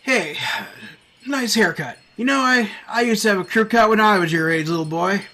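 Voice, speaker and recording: An actor playing a flustered but kindly man stuck as Santa Claus, speaking haltingly in an audio drama. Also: sits high, sharp tone. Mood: gently teasing. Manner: hesitant, halting, stammering.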